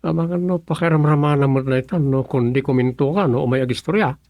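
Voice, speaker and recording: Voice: mid-range voice, quick pace, darkish tone, dry recording, studio quality, natural voice. A performer playing a curious listener who prods a gossip with short questions in a comedic radio drama. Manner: inquisitive, short prompting questions.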